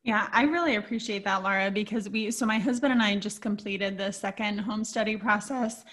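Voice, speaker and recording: A woman's voice: mid-range voice, a little fast, a bit bright. A woman hosting an interview, responding thoughtfully with fillers. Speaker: host. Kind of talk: conversational interview.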